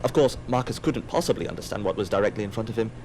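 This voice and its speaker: A man's voice: on the low side, rapid-fire, a little mellow, a little hiss or hum. A man giving scripted audio drama narration in a wry, theatrical manner.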